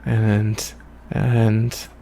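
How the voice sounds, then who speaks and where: A man's voice: on the low side, completely flat delivery, very slow, vocal fry, dark tone, a little hiss or hum, heavily acted. A man voicing an anxious, self-doubting young adventurer from the forest, stammering and rambling in a visual novel. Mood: rambling, flustered. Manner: nervous, hesitant stammering and rambling. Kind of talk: visual novel character read-aloud. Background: music.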